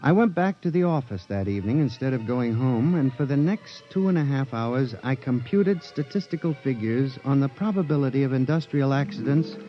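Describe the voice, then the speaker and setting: A man's voice: medium pitch, lively intonation, brisk, few pauses, soft background music, close to an everyday voice. An actor playing an anxious male statistician who narrates and grows frightened, in a 1950s radio drama recording. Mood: uneasy. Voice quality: earnest, increasingly panicked. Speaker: narrator and lead character. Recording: dull old 1950s radio broadcast.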